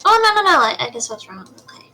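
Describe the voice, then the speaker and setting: A woman's voice: very high-pitched, big pitch swings, fast talker, bright, forceful tone, no echo. A woman chatting casually as a guest on a livestream. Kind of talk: casual chat. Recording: music playing behind the talk. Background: background music.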